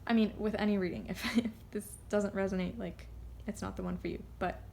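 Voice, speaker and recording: A woman giving a casual, unscripted card reading, rambling and halting as she drifts off on tangents. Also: mid-range voice, steady tone, creaky, darkish tone, slight background noise. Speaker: tarot card reader.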